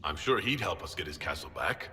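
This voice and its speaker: An actor playing a self-assured fantasy hero and leader in a video game, assertive and dryly sardonic. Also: male voice, a bass voice, big pitch swings, brisk, bright, forceful tone, full character voice. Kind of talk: dramatic video game dialogue. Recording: reverberant hall, faint music.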